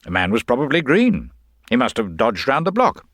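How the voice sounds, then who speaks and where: A man playing a brisk, confident public prosecutor in a detective radio drama, breezy and authoritative. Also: medium pitch, wildly swooping pitch, fairly bright tone, no echo, studio quality, pitched above the performer's own voice. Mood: dismissive.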